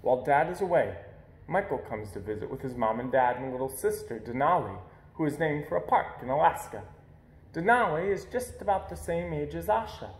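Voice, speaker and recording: A man with a slightly high voice reads a picture book aloud. He narrates expressively for children, and the room gives his voice a clear echo. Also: expressive, brisk.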